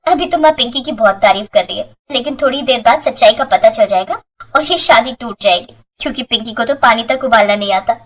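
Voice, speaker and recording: A woman's voice: a little high, a little fast, natural voice. A woman voicing a mild but reproachful young sister in a family moral story. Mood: smug. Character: mild young twin sister, gently scolding, slightly resentful. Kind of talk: Hindi moral story voice acting.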